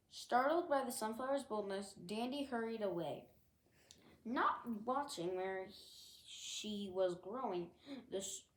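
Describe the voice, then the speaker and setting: A woman reading a children's picture book aloud as a storyteller, with expressive narration. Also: mid-range voice, echoey room.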